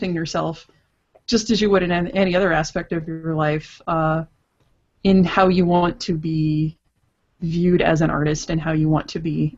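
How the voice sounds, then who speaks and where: A woman guesting on a casual podcast over an online call with dull, lo-fi audio, talking hesitantly. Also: on the low side. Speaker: podcast guest, comics artist.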